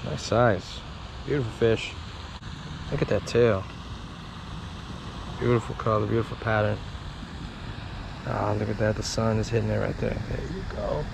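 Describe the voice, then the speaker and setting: A man giving casual running commentary to viewers while fishing at a park pond, getting excited over each catch. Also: fairly low pitch, normal speed, vocal fry, long pauses. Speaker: fishing vlogger. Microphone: head-mounted action camera. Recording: outdoor camera audio with noise.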